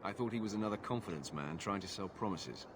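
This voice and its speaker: An actor playing a weary, cynical guard at a gate in a video game. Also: male voice, fairly low pitch, expressive, quick pace, background noise, lightly acted. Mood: dry, cynical. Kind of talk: video game cutscene acting.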